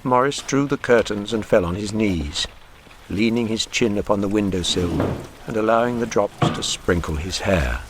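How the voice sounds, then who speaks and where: An actor playing a measured male narrator in a period literary radio drama. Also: on the low side, noisy background, close to an everyday voice.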